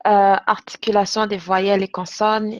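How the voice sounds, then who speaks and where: A woman moderates a webinar, reading short audience answers aloud in a flat, even voice. The audio is dull and lo-fi, and completely dry. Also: mid-range voice, moderate pace, no room sound.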